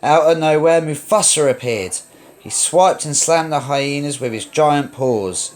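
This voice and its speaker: Expressive man narrating a children's storybook. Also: a little high, measured pace. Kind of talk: children's read-along narration.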